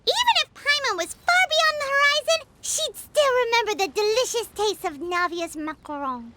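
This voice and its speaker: Game fairy mascot, tiny and childlike, squeaky and excitable, very animated delivery. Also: female voice, moderate pace, slight background noise, exaggerated voice. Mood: cheerful, eager. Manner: speaks of self in third person. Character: small excitable childlike fairy companion who loves food. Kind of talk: English video game dub. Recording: music behind the voice.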